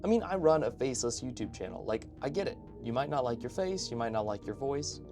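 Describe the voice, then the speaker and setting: Man, mid-range pitch, casual video essay host, opinionated, informal asides, scripted commentary, music behind the voice. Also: big pitch swings, fast. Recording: background music under voice-over.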